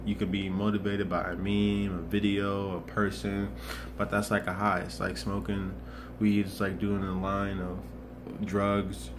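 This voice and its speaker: A man's voice: on the low side, completely flat delivery, a little slow, background noise. A man in his twenties talking earnestly in a rambling motivational vlog, restarting and repeating himself often. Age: 20s. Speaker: motivational vlogger. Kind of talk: casual motivational monologue.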